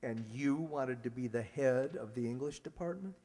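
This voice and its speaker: A man's voice: medium pitch, moderate pace, mellow, natural voice. A man acting in a radio sitcom as a grumpy, officious middle-aged school principal, blustering and irritable. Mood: irritated. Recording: echoey hall, long reverb.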